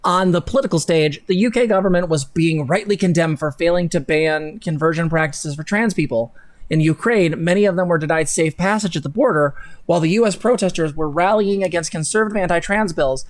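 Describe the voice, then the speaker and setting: A woman's voice: lowish voice, fairly quick. A young adult woman co-hosting a livestream in a sardonic, blunt way, with casual mocking commentary. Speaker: co-host of a livestream.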